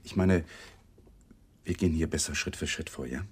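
A man's voice: a bass voice, normal speed, darkish tone, husky, heavily acted. A man acting in a 1980s German radio crime play as a defensive, uneasy husband who gives hesitant, guarded answers to police. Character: defensive, uneasy husband under police questioning, awkward lawyer. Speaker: actor in a radio crime drama.

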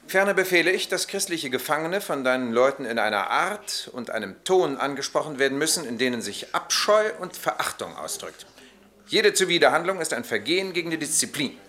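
Roman officer, stern, formal, coolly ironic, bright forceful voice, medium pitch, radio drama. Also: male voice, very animated, brisk. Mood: commanding. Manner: officious, clipped, formal military pronouncements.

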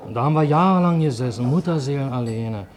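An actor playing a brash, cheeky small-time crook fresh from prison, with a Berlin accent, in a 1950s German radio drama. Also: male voice, medium pitch, expressive, quick pace, lightly acted. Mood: wheedling. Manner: brash, jokey, slangy. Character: cocky scheming male ex-convict, cheeky small-time crook.

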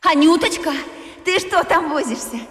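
A woman's voice: extremely high pitch, moderate pace, a bit bright. A gushing, doting mother. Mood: elated. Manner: gushing, affectionate. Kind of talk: radio play acting.

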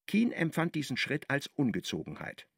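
Man, narrator, literary radio drama, lively intonation, gravelly, dry close recording. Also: mid-range voice, moderate pace, no room sound.

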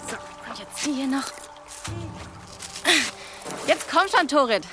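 A woman's voice: sits high, big pitch swings, slow, over music, exaggerated voice. An actress playing a cheeky, blunt village girl in casual, naturalistic audio drama dialogue. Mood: calling, uneasy. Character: cheeky, blunt, teasing village girl who hunts and forages.

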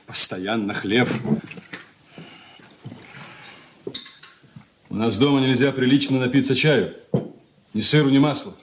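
An actor in a radio drama playing a snobbish, irritable grown son, curt and disdainful. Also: male voice, medium pitch, normal speed, slight background noise, close to an everyday voice. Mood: disdainful grumbling. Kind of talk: Russian stage-style radio drama acting.

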